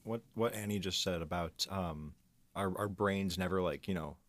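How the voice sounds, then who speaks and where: A man speaking as a podcast guest in casual, reflective chat, explaining in a measured way and repeating phrases for emphasis. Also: sits low, a bit bright, no room sound. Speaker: guest composer and audio engineer.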